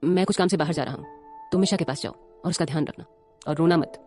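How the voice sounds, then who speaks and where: A woman's voice: low-pitched, fast talker, a little mellow, faint music behind, lightly acted. A woman voicing a powerful young man who reassures and gives orders. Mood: commanding. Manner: reassuring, commanding.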